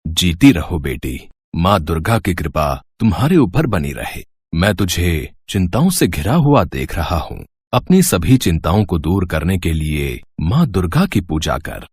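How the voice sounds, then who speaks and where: A man's voice: deep voice, big pitch swings, darkish tone, husky, no echo, heavily acted. A man voicing a serene, kindly old holy man who speaks solemnly, as in a devotional story. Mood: benevolent. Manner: slow, solemn blessing.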